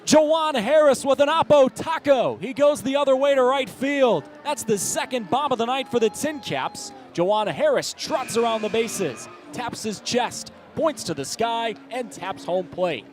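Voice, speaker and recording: A man's voice: extremely high pitch, lively intonation, unhurried, hardly any pauses. An American man doing live baseball play-by-play in clipped, present-tense calls, built to swell on big plays. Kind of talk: live sports commentary. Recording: ballpark ambience behind the voice. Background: outdoor stadium background noise.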